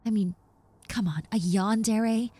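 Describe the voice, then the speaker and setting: A woman playing an earnest, remorseful reformed stalker roommate in an intimate audio roleplay, speaking softly and hesitantly. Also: medium pitch, husky, no echo. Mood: earnest, reflective. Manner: soft, hesitant, halting with repeated words.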